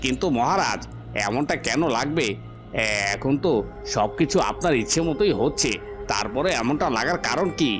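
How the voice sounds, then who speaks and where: A man's voice: medium pitch, animated, normal speed, over music, lightly acted. A man voicing a puzzled, reassuring palace guard in a cartoon, deferential and questioning in manner. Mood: puzzled reassurance. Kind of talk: cartoon voice acting.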